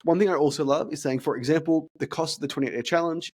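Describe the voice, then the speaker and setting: A man's voice: fairly high pitch, darkish tone. Stuttering man talking sales. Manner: talks through deliberate stutters and repeated words. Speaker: fitness business consultant. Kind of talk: casual podcast chat, role-playing sales calls.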